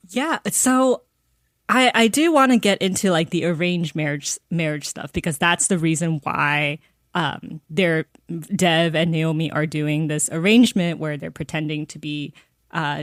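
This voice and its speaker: A woman's voice: sits low, no room sound, clean studio sound. An American woman co-hosting a book podcast, blunt and laughing often as she chats and asks questions. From United States. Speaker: podcast co-host. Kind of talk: casual podcast chat.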